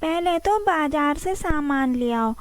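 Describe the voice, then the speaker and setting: A woman's voice: high voice, lively intonation, slow pace, no echo, pitched above the performer's own voice. A woman voicing a mildly scolding but obliging mother rabbit in a children's cartoon story.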